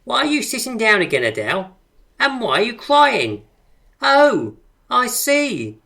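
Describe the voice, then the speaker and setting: An actress playing the brisk, pretentious leader of a poetry circle in a radio drama, grandly articulate and theatrical. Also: female voice, a little high, huge pitch swings, creaky, pushed and bright, heavily acted. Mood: brisk, chiding.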